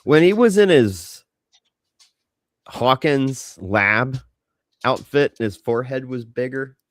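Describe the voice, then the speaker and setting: A man's voice: medium pitch, lively intonation, slow, no room sound, studio quality. A man co-hosting a podcast in casual pop-culture banter, speaking emphatically and with casual swearing. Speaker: podcast co-host.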